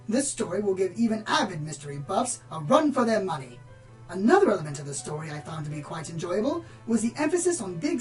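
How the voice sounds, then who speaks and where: Lady acting as prim bookish pony presenting a book, formal wording, medium pitch, soft music, radio play. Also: natural voice, low-quality recording. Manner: formal, articulate, earnest. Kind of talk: scripted review in radio play.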